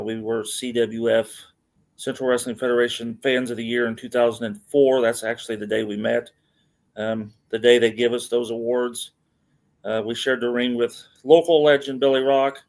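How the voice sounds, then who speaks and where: A man's voice: mid-range voice, a little mellow. Middle-aged man hosting a casual wrestling podcast. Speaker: podcast host. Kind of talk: casual wrestling news rundown.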